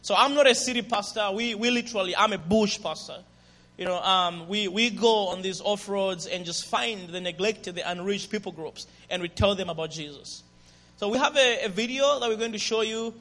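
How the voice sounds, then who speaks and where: A visiting pastor with a Zambian accent preaches to a church with lively, friendly energy. His voice sits high for a man and rings through a reverberant hall. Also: moderate pace. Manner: lively and friendly, with call-and-response and laughter. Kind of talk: guest sermon and ministry testimony. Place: church sanctuary.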